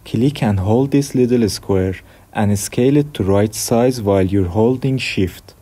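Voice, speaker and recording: A young man from Iran with an Iranian accent, hosting a software tutorial with plain step-by-step instructions. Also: fairly low pitch, a little slow. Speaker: tutorial host. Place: dim room at a desk.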